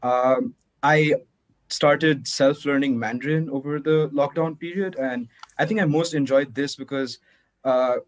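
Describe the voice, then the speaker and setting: A teenage boy from Nepal speaks on a video call panel. He answers in a reflective way, and his voice sits at a middle pitch.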